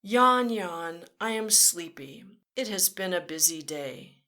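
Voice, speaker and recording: Slow, sing-song woman reading to kids. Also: fairly low pitch, lively intonation, pushed and bright. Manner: slow, sing-song, playful sound effects; talks directly to children. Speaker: children's storyteller. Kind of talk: read-aloud storytime.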